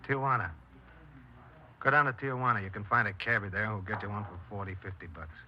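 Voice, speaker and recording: An actor playing a vain, breezy small-time burglar in a 1950s radio crime drama, with slangy, sly, casual talk. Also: male voice, lowish voice, steady tone, brisk, sharp tone. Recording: muffled 1950s radio broadcast, faint noise.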